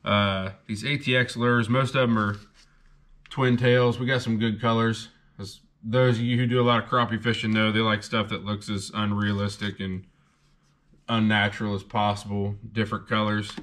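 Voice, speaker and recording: Man, young adult, lowish voice, casual, laid-back rambling, fishing vlog host. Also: level tone, moderate pace, fairly bright tone. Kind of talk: casual haul video chat.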